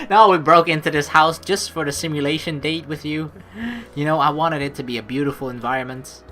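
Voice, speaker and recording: A woman's voice: low-pitched, normal speed, sharp tone. A woman let's player reading game text aloud expressively, mixed with casual commentary. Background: game music.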